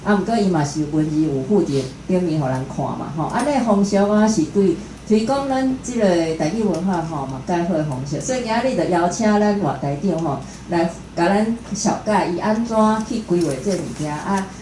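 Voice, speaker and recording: A woman's voice: fairly low pitch, animated, fairly quick, few pauses, warm tone. A woman with a Taiwanese accent, hosting and giving an informal introduction at a live talk. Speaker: event host introducing a guest speaker. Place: indoor room at a live talk event. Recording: echoey room with faint noise.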